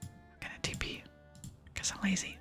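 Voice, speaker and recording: A woman whispering softly and breathily as she hosts a casual ASMR game playthrough. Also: fairly quick, faint music behind. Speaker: host of a gaming video series. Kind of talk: ASMR game play with whispered dialogue reading.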